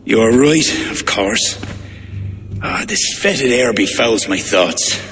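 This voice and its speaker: A man's voice: medium pitch, expressive, slow, a bit bright. Devout medieval game king: grave, condemning, dramatically varied delivery, reverberant with music underneath. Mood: solemn resolve. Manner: solemn, commanding, condemning. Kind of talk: dramatic video game cutscene acting. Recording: echoing hall with music behind.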